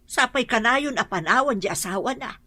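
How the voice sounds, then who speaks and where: An actress playing a gossipy, loyal housemaid in an Ilocano radio drama, with an Ilocano accent. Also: female voice, fairly high pitch, expressive, moderate pace, noisy background, lightly acted. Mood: worried. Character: gossiping middle-aged housemaid, loyal to her employer.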